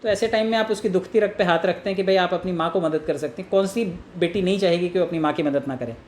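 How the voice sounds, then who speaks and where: An echoey room with faint background noise surrounds a man from India as he coaches on selling. He explains things earnestly and informally, often posing rhetorical questions and voicing sample phone pitches. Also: fast talker. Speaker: network marketing trainer. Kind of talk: informal sales coaching with role-played call examples.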